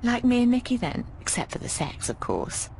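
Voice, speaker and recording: An actress voicing a breezy, affectionate, bawdy landlady in a video game, chatting in an expressive, teasing way. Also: fairly high pitch, normal speed, gravelly, a little hiss or hum. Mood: chatty, wry. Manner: expressive, teasing, calls people darling. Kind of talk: conversational video game voice acting.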